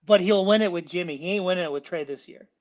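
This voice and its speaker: A man calling in to a sports show, talking casually with a nasal, high-pitched voice and rambling, stop-start restarts. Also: quick pace. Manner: rambling, repeats words when starting a point. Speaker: caller. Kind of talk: casual sports call-in chat. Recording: dull lo-fi phone line.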